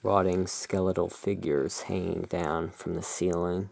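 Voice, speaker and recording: Low-pitched ASMR speaker, gravelly fry, musing, unscripted show-and-tell. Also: little pitch movement, darkish tone. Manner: musing, unhurried. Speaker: tarot reviewer in a roleplay as emporium owner.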